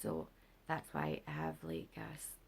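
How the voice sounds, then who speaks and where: Rough, gravelly British woman vlogging. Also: sing-song, unhurried. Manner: rambling, filler-heavy, low-energy. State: unwell and sleep-deprived. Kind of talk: casual health update vlog.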